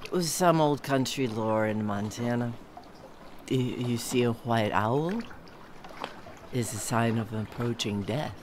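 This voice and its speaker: An actress playing a kindly, tipsy American woman from Montana, speaking in a languid, halting, slurred way in a radio drama. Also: very deep voice, noisy background. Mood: drunk, dreamy.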